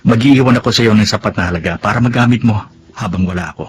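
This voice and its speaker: An actor with a Filipino accent playing an indulgent middle-aged married lover in a radio drama, wooing and reassuring. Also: male voice, lowish voice, quick pace, a little hiss or hum, lightly acted. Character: Roger, indulgent married sugar daddy, smooth suitor.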